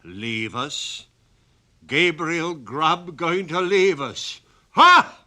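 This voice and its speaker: Brief: loud, slow, menacing goblin, done by a male reader, mocking and stern, bright edge. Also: on the high side, big pitch swings, pitched above the performer's own voice, heavily acted. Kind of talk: dramatic storybook reading.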